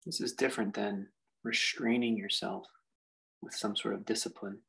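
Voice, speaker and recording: Male meditation guide, gravelly and husky, contemplative delivery with lots of space between phrases. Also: on the low side, steady tone, sharp tone, rough recording. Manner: unhurried, reflective delivery with long pauses. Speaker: meditation teacher.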